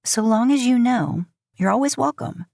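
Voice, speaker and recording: A woman voicing a steady, caring, middle-aged motherly character in a story. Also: fairly high pitch, normal speed, vocal fry, no room sound, clean studio sound, voice pushed up. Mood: welcoming.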